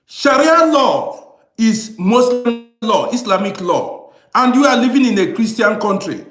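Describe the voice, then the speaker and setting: Male panel moderator, firm, highly animated delivery, roomy echoing sound. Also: unhurried, over music. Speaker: host of a panel discussion. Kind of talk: live debate moderation. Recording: echoey room sound.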